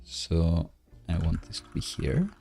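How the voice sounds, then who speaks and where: A man giving casual live coding commentary, halting as he thinks aloud while he works. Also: deep voice, sing-song, gravelly, warm tone, soft background music.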